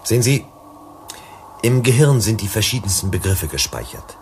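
Deep-voiced, earnest psychologist. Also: male voice, big pitch swings, moderate pace, a little hiss or hum. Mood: calm, explanatory. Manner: pleading, explanatory, emphatic. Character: earnest, persuasive male company psychologist and negotiator. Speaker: actor in a radio play. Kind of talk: German sci-fi radio drama acting.

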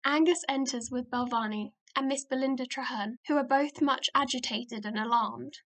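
A woman's voice: high-pitched, moderate pace, fairly bright tone. A woman reading stage directions as the narrator of a radio play in scripted narration.

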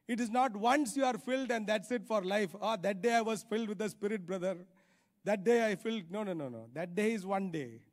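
A middle-aged male preacher delivers a sermon in a high-pitched voice. He is emphatic, repeating key phrases and checking in with short rhetorical questions. He speaks into a handheld microphone on an auditorium stage. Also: a little fast. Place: large church auditorium stage, indoors.

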